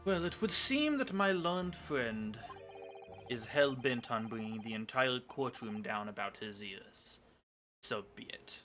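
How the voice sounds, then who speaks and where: A man's voice: mid-range voice, animated, a little slow, fairly bright tone, soft background music. A man voicing a haughty, sardonic prosecutor in a Victorian courtroom, formal and condescending, in a video game fan dub. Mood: scornful.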